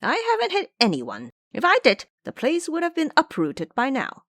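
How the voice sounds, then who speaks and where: A woman's voice: high voice, huge pitch swings, normal speed, fairly bright tone, no room sound, clean studio sound, pitched above the performer's own voice, heavily acted. A woman voicing a menacing, cocky male monkey disciple in an audio drama, brash and commanding. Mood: indignant boast.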